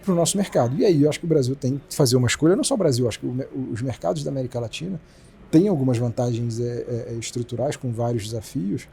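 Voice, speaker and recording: Brief: Brazilian male exec guest, rapid-fire delivery, warm mellow tone, faint hiss behind. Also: mid-range voice, gravelly, breathy edge. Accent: Brazilian Portuguese. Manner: fast, animated explaining with many restarts. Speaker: company president, guest. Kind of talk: casual business interview.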